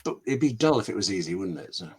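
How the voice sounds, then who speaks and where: A man's voice: fairly low pitch, sing-song, quick pace, husky. A man answering questions as a scriptwriter guest in a conversational way, telling anecdotes and thinking aloud with asides and questions to himself. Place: home room with books, on a video call.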